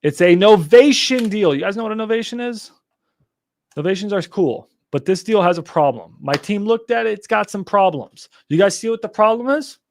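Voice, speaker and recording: Excitable young man streaming live. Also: high voice, normal speed, a little hiss or hum. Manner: excitable, swears when exasperated, talks to chat. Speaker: real estate wholesaling livestream host. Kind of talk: casual livestream teaching.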